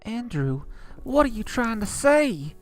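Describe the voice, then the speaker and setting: A woman acts as a young, devoted bride in a horror comic melodrama. Her voice is fairly high and earnest, with an adoring, easily shaken temper, set over faint music. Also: big pitch swings, slow pace. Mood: horrified.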